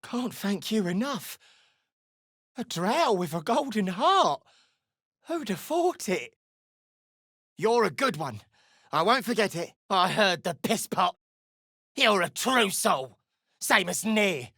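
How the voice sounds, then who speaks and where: A woman voicing a blunt, scornful deep gnome in a video game, suspicious and foul-mouthed. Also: sing-song, takes it slowly, gravelly, pauses often, a bit bright, slightly rough, no room sound, studio quality, heavily acted. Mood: wry, grudging. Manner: blunt, scornful, curses freely. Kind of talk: video game character dialogue.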